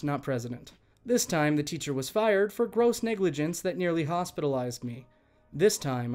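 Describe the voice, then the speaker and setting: A man working as a channel host, reading online stories aloud in an expressive, conversational way and speaking directly to listeners. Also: fairly high pitch. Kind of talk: reading online stories aloud, scripted narration with chatty asides.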